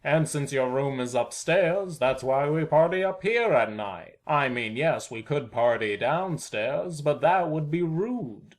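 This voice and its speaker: A man's voice: fairly high pitch, expressive. A man playing a suave, pompous, shamelessly polite neighbour who likes a drink, in an amateur audio play. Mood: smug, explanatory. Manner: pompous, drawn-out, mock-courteous.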